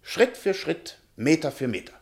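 An actor in a radio play playing a shy, pedantic history professor, precise and hesitant but easily indignant. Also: male voice, on the high side, very animated, moderate pace, vocal fry. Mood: insistent. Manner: precise, hesitant, flaring into agitation. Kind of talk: German radio drama acting.

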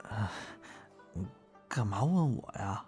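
A man's voice: lowish voice, expressive, slow pace, darkish tone. A man playing a modest young comic performer in an audio drama, hesitant and prone to stammering when flustered. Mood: awkward, evasive. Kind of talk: Chinese audio drama dialogue. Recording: music behind the voice.

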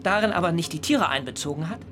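An actor playing an earnest, zealous young German vegetarian prophet in a radio play, speaking in ornate, rhetorical sentences. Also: male voice, fairly high pitch, lively intonation, quick pace, bright, forceful tone, soft background music. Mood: fervent.

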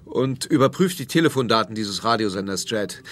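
An actor playing a demanding, impatient police superior in a German radio drama, commanding and dryly sardonic. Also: male voice, lowish voice, lively intonation, fairly quick, fairly bright tone, a little hiss or hum. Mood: dry, businesslike.

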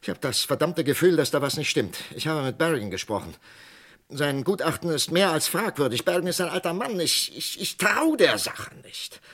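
An actor playing an agitated, morally outraged psychiatrist in a German radio crime drama, speaking urgently and haltingly. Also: male voice, fairly high pitch, very animated, fairly bright tone. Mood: urgent pleading.

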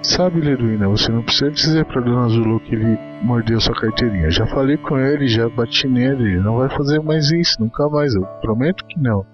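A man's voice: mid-range voice, a little fast, hardly any pauses, close to an everyday voice. A man voicing an earnest, trusting little boy in a dramatic short-story reading, naive and pensive. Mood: earnest, pleading. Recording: dull lo-fi audio with music underneath.

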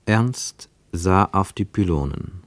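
A male narrator with a fairly low voice reads literary prose for a radio drama. He is measured and expressive, setting deliberate pauses between phrases. The recording is very dry, with no room around the voice. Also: no room sound.

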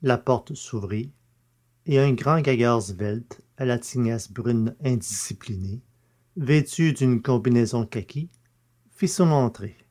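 A man's voice: mid-range voice, slow, mellow. A man reading scripted literary narration for a French science fiction audio drama.

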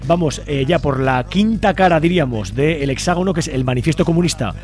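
High-voiced Spanish man hosting radio. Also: animated, fairly quick, a little mellow. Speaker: radio show host. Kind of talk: casual interview chat introducing guests. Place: radio studio.

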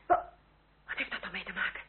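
A woman's voice: brisk, lightly acted. An actress playing an anxious, motherly French aristocratic lady, middle-aged, in an emotional and genteel radio drama style. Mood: agitated. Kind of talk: period radio drama acting. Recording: tinny, noisy old radio play recording.

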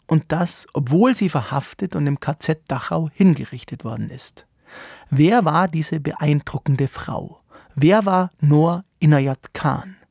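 Male presenter for scripted radio intro, higher-set voice with vocal fry, close dry sound. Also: darkish tone, dry recording.

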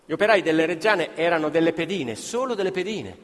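A man's voice: on the high side, lively intonation, brisk. A man narrating scripted theatre as an actor, dramatic and emphatic in his storytelling. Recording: reverberant hall with live music. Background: live music with violin.

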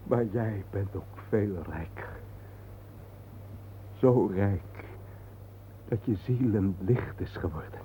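A man's voice: lowish voice, slow, long pauses, dark tone. An actor playing an intense, soul-searching man, earnest and declamatory in a poetic radio drama. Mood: reverent, sombre. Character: intense, soul-searching man lost among the war dead. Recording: muffled, noisy old radio play recording.

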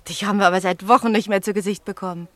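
Actress as a brisk, fast-talking snack bar woman. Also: medium pitch, steady tone, no room sound, natural voice. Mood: brisk, insistent. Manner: brisk, insistent. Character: sharp-eyed, argumentative woman working in a snack bar. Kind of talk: German radio drama acting.

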